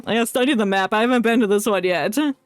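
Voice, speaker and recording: A streamer chatting casually and playfully through live gameplay, excitable and often muttering to themselves. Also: medium pitch, very fast, no room sound. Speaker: game streamer. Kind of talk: casual live gaming commentary. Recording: music behind the voice.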